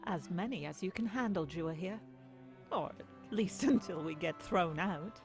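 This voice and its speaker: A woman's voice: lowish voice, unhurried, over music. A woman playing a proud, defiant young political aide in a comic dub, speaking firmly and assertively. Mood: dry. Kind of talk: acted comic dub dialogue.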